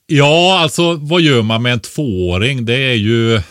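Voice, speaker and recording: A man's voice: mid-range voice, a bit bright, no echo, studio quality. A man talking casually and giving explanatory advice as an expert podcast co-host, often tagging a question onto the end of his points.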